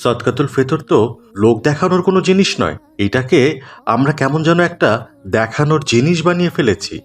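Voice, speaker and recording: A man's voice: fairly high pitch, expressive, fairly quick, lightly acted. Man as an earnest, pious cartoon father. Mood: earnest, disapproving. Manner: earnest, moralizing. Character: pious, principled father instructing his son. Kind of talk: cartoon dialogue acting.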